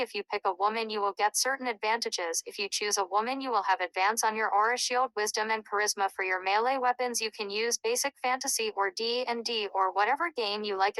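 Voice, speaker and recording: A woman's voice: a little high, bright, forceful tone, no echo, poor audio. Woman teaching game rules, very dry sound. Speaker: presenter explaining a tabletop role-playing game's rules. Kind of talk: informal rules tutorial.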